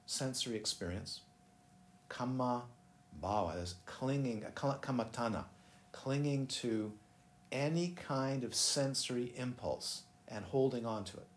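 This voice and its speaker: A man's voice: medium pitch, animated, unhurried. A man teaching meditation in a casual, rambling way, often breaking off and putting questions to his listeners. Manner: rambling, self-interrupting, asks listeners questions. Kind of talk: dharma talk. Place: busy room. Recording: echoey room, faint ambient noise.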